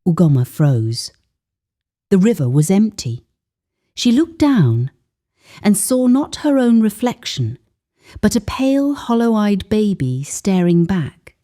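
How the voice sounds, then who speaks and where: A woman's voice: medium pitch, sing-song, takes it slowly, mellow. A woman narrating a folktale as a dramatic, scripted storyteller.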